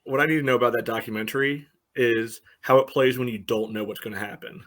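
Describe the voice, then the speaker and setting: A man's voice: medium pitch, fairly even intonation, quick pace, a bit bright. Man chatting casually, hesitant delivery. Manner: hesitant, peppers talk with "you know". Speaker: soccer podcast co-host. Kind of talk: casual chat.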